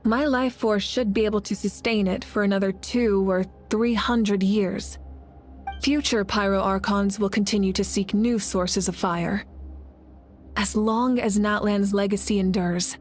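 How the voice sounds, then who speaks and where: A woman playing a noble, resolute female war leader in a video game, speaking earnestly, steadily and calmly. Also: mid-range voice, unhurried, natural voice. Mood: calm resolve. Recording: faint music behind.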